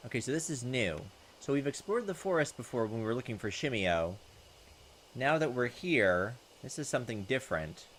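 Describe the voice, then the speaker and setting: A man's voice: medium pitch, sing-song, normal speed, fairly bright tone, a little hiss or hum, low-quality recording. A man hosting a let's play, reading visual novel text aloud and chatting in a hesitant, thinking-aloud way. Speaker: gaming video host. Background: game music.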